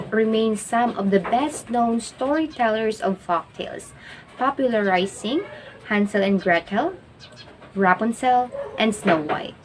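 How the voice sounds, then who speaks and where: A woman narrates educational material from a script. She reads formally at a middling pitch, with faint noise in the background of the recording. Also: rough recording. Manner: reading aloud, formal.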